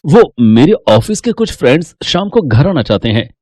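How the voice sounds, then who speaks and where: A man's voice: fairly high pitch, sing-song, normal speed, dark tone. A man playing an easygoing young married office worker in a cartoon family story. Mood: casual. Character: young married office-working son, casual and friendly.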